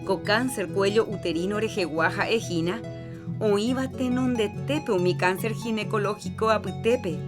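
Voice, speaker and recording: A woman hosting a health radio program, explaining calmly and playing a counselor in its drama. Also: mid-range voice, moderate pace. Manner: calm, explanatory. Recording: radio program with background music.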